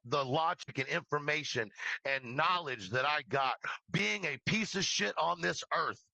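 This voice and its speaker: Guy ranting on a livestream, forceful bright voice, really dry close sound, confrontational, swears a lot and repeats himself. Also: a little high, vocal fry, no echo, rough recording. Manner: confrontational, scolding, emphatic; swears freely. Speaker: true crime livestream creator. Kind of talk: impassioned rant addressed to an absent person.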